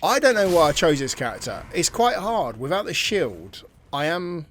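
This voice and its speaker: A man's voice: fairly high pitch, sing-song, moderate pace, a bit bright, slight background noise. A man giving casual, chatty commentary while he plays a video game, thinking aloud and reading tutorial text as he goes. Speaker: gaming channel host.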